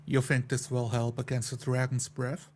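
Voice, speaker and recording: A man's voice: mid-range voice, completely flat delivery, normal speed, darkish tone, a little hiss or hum. A man playing a tabletop roleplay game, speaking restrained, hesitant lines in character and narrating his actions. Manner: hesitant, restrained, broken phrases.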